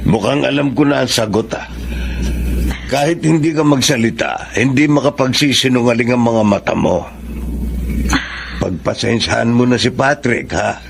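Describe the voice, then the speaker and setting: Actor as creaky-voiced caring older father. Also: medium pitch, normal speed, background noise, lightly acted. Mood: gentle, apologetic. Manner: fatherly, gently advising. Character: caring older father, gently concerned for his son. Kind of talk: Filipino radio drama acting.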